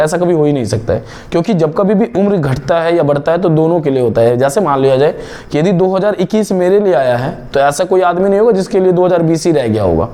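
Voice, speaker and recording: Man teaching math, slow and questioning. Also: high voice, dark tone. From India. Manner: explains slowly, asks and answers his own questions. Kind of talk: step-by-step math lesson.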